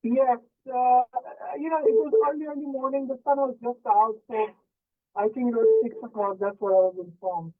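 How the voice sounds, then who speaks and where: A man in his fifties, from Pakistan, calls in to a radio show and asks politely about his future, often pausing on fillers. His voice sits very high for a man. The call comes through thin and phone-like. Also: fairly even intonation. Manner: polite, hesitant, many fillers. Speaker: caller. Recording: tinny phone line.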